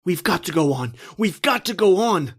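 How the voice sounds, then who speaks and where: An actor playing a terrified, desperate man recounting his flight from a monster, in intense old-time radio horror style with drawn-out, repeating phrases. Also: sits high, big pitch swings, fairly bright tone, slightly rough, exaggerated voice. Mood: desperate urging. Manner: intense, breathless repetition, drawn-out dramatic phrasing. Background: music.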